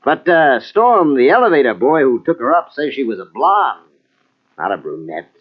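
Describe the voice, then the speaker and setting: A skeptical police captain in an old radio mystery. He is wry and doubting, in a medium-pitched voice with very lively intonation. The tape sounds dull and muffled. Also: moderate pace. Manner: dry, doubting, matter-of-fact.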